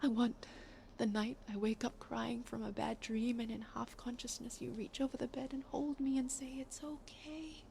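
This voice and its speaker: A woman's voice: fairly high pitch, level tone, moderate pace, creaky, hardly any pauses, darkish tone, a little hiss or hum. A woman playing a melancholy, possessive lover in an intimate audio drama, speaking in an intense, poetic, confessional way. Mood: yearning, intense. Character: melancholy, possessive heartbroken lover, an actor by trade.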